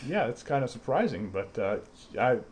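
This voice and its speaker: A man co-hosting a comedy radio bit, playing it straight in deadpan banter and stumbling through restarts. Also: mid-range voice, normal speed, slight background noise. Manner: hesitant, many fillers and restarts. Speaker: radio show co-host.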